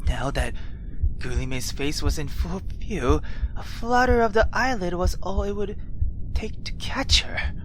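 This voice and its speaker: Slow-paced, dramatic woman reading over noise. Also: on the low side. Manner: dramatic, expressive reading with hushed asides. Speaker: video game let's player. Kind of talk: reading a visual novel aloud with reactions.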